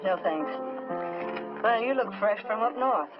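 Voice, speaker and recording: An actress playing a cool, flirtatious jazz club owner in a 1950s film. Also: female voice, mid-range voice, lively intonation, a little slow, natural voice. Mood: cool, curious. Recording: muffled old film soundtrack, loud music.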